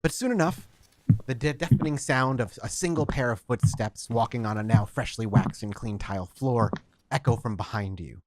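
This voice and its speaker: A man narrating a tabletop game as its game master. He describes each scene steadily and in detail, at a middling pitch for a man. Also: moderate pace, a little mellow, no room sound, rough recording.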